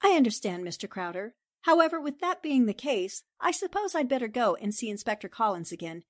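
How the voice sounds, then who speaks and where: A woman's voice: fairly high pitch, big pitch swings, a little fast, no echo, studio quality. A woman playing a confident, determined newspaper sleuth in 1940s radio drama style, assertive and politely pointed. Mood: coolly insistent.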